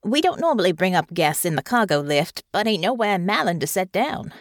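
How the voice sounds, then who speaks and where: A woman voicing a humble young male airship crewman with a soft, homey rural drawl and folksy grammar. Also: medium pitch, big pitch swings, brisk, no room sound, clean studio sound, close to an everyday voice. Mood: friendly. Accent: rural country dialect. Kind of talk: audiobook character voice.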